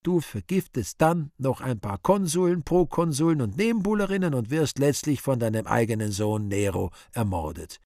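An actor playing an urbane, sardonic Devil in a radio play, speaking with deliberate irony and mock courtesy. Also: male voice, medium pitch, sing-song, a little mellow, dry recording, clean studio sound. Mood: sardonic recital. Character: urbane, sardonic Devil interrogating dead sinners. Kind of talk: theatrical radio play monologue.

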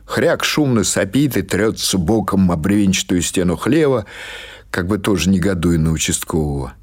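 A man reading literary prose as an audiobook narrator, in a measured, dramatic storytelling manner. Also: low-pitched, expressive, a little mellow.

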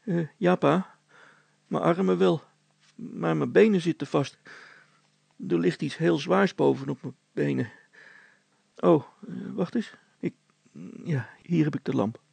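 A man acts out a helpless young son in a storybook tale. The voice sits high above a narrator's range, with a husky edge. He sounds meek and anxious, close in a dry space. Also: a little slow, pauses often, darkish tone, dry recording, exaggerated voice. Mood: anxious, straining.

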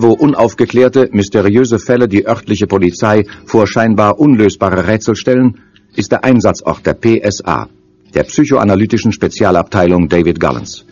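A low-voiced man narrates a crime radio play in a solemn, ominous manner. The sound is a little dull, with music underneath. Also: darkish tone. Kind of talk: dramatic scripted narration of a crime audio drama.

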